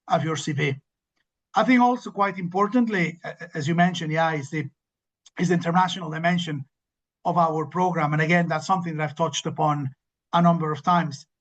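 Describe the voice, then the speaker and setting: Emphatic academic director, slightly higher pitch. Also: male voice, fairly bright tone, dull audio. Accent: non-local, not from the host city. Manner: fluent, emphatic, with repeated words and restarts. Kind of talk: webinar information session answer.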